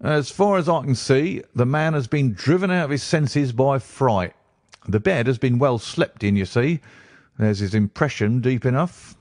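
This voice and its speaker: Loud brisk police inspector, hearty and sure of himself, a man voicing him. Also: mid-range voice, dry recording, clean studio sound, voice pushed up, natural voice. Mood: confident. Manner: brisk, loud, matter-of-fact. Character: hearty, self-assured male police inspector.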